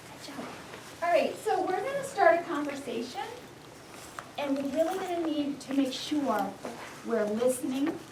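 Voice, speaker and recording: A woman leading young children through a class discussion, guiding them with questions and countdowns in an encouraging way. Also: high-pitched. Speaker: first-grade teacher leading a class discussion. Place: indoor elementary school classroom. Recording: echoey classroom, distant mic, faint noise.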